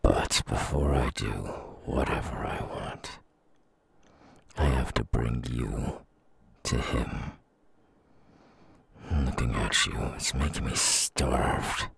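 Here is a menacing demon villain for an ASMR audio roleplay. His voice is extremely low, rasping with heavy fry and breath. He gloats and threatens at a slow pace, like a predator holding back his hunger. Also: exaggerated voice. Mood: hungry, gloating. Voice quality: very husky, gravelly, breathy.

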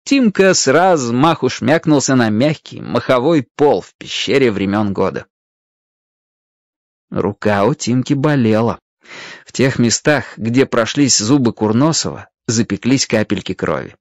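A man's voice: mid-range voice, expressive, measured pace, no room sound. A man narrating a children's adventure story expressively from a script, as the narrator of a radio play.

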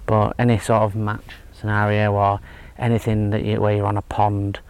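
A man in his thirties giving a relaxed, hesitant fishing tutorial outdoors by a lake, with lots of fillers and restarts. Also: fairly low pitch, normal speed, smooth. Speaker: angling instructor. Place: outdoors at a lakeside fishing spot. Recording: faint outdoor background noise. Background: faint outdoor ambience.

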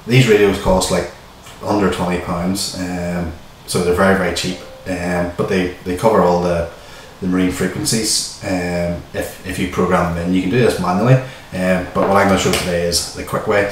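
A man in his forties talks through a tutorial in an informal, plain-spoken way. His voice is low-pitched. It is picked up a little way from the microphone in a small, very reverberant room. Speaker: host of a how-to video. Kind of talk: casual tutorial explanation. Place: small bare-walled room indoors. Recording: echoey small room, distant mic, faint noise.

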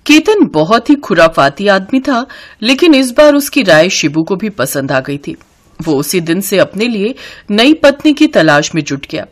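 A woman's voice: mid-range voice, sing-song, unhurried. A woman narrating a cartoon story in a scripted storybook style.

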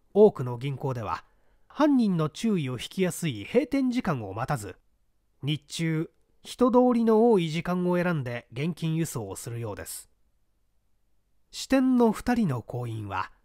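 A young man narrates a literary mystery in a fairly high voice for a male. His intonation moves widely while the phrasing stays deliberate and measured. The sound is close and dry, with very little room. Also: a little mellow, no room sound. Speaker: audiobook reader.

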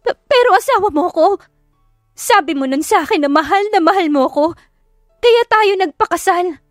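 A heartbroken, defiant wronged wife acted by a woman narrator in an audio love drama. Her voice soars high with emotion and accusation, close and dry over background music. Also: animated, moderate pace, no echo. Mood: hurt, pleading. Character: betrayed, heartbroken young wife, vengeful and defiant. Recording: music behind the voice.

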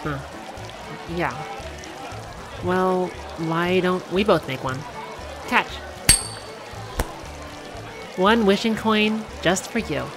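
A performer voicing a cheerful, affectionate girlfriend on a theme park date, speaking gently and unhurriedly in a one-sided ASMR roleplay. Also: mid-range voice, music in the background. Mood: cheerful, caring, then sweet, hopeful. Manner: gentle, unhurried, softly conversational.

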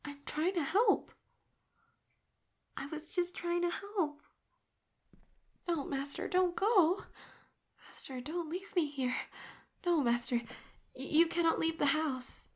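A woman voicing an obsessive, clingy female robot companion in an audio roleplay, talking breathy and hushed into a close mic, swinging from pleading to eerily calm control. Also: extremely high pitch, slow, pauses often. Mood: desperate pleading. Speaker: voice actress.

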